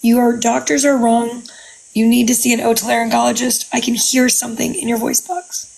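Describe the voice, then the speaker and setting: A woman with a raspy voice, telling a personal story in casual chat on a video call. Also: fairly high pitch, steady tone, moderate pace, a little mellow, poor audio. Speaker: content creator.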